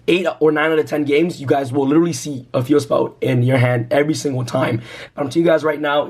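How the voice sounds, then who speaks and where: Fast-talking raspy young man, hyped. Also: a little high. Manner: hyped, repeats "you guys" constantly. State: raspy voice from screaming. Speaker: YouTube card game channel host. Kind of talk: excited deck profile talk to camera.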